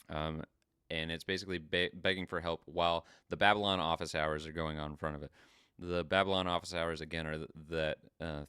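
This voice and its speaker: A man hosting a podcast, recapping a story in an informal, sweary and halting way. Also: low voice, bright, forceful tone, clear, resonant tone, no echo, studio quality. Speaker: podcast host. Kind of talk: casual review and story recap.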